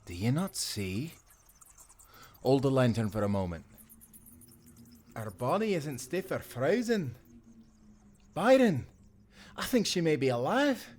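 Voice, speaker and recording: A man's voice: mid-range voice, big pitch swings, takes it slowly, long pauses, a little mellow. Man playing earnest timberman sailor. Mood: reluctant, earnest. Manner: earnest, old-time seafaring speech. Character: kind, principled male timberman sailor of the 1790s. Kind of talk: cinematic audio roleplay.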